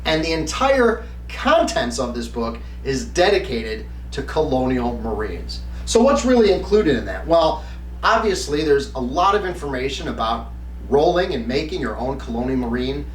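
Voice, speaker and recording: A man's voice: medium pitch, big pitch swings, normal speed. A man presenting a conversational tabletop game review, explaining things and answering his own questions. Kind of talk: conversational product review to camera. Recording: roomy echo, distant mic, faint hum.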